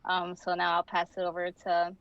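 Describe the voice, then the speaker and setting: A woman's voice: lowish voice, monotone, normal speed, vocal fry, fairly bright tone, highs cut off, a little hiss or hum. A twenty-year-old woman from Florida, a design student, introducing herself in a friendly way. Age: 20s.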